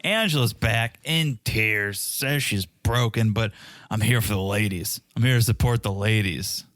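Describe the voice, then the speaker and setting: A man's voice: fairly low pitch, unhurried, fairly bright tone, no room sound, studio quality. A man co-hosting a podcast, chatting casually and jokingly about a reality show. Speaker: podcast co-host.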